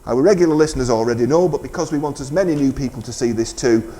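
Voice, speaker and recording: A man's voice: mid-range voice, quick pace, mellow. A man presenting live community radio, making a fundraising announcement in an informal, hesitant, bantering way.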